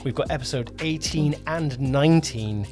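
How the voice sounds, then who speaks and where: A man co-hosting a casual podcast as a producer, talking in a halting way, correcting himself and repeating phrases. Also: fairly high pitch, a little mellow, soft background music. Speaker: podcast co-host, audio drama producer.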